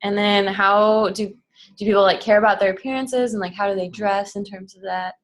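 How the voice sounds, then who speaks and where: A woman's voice: mid-range voice, fairly even intonation. A nineteen-year-old woman answering questions on a student panel, chatty and upbeat. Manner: chatty, upbeat, quick asides. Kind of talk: casual Q&A answers.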